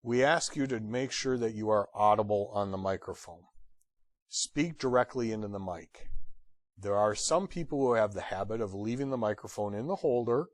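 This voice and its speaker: A man giving an instructional lecture as a trainer, blunt and direct with wry humor and emphatic pauses on key phrases. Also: lowish voice, no room sound. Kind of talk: instructional lecture on radio procedure.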